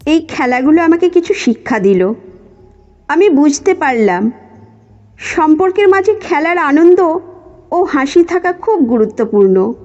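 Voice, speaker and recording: A woman's voice: sits high, a little mellow. A woman reading a scripted first-person story as its narrator. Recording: muffled lo-fi audio with music. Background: background music.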